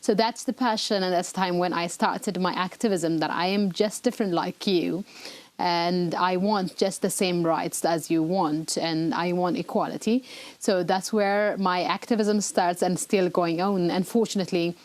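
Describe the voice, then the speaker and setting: A woman's voice: lowish voice, steady tone, normal speed, no room sound. A woman, a guest in a studio interview, explaining things earnestly with frequent hesitations. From Ethiopia. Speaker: interview guest, rights activist. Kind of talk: broadcast interview answers. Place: broadcast studio.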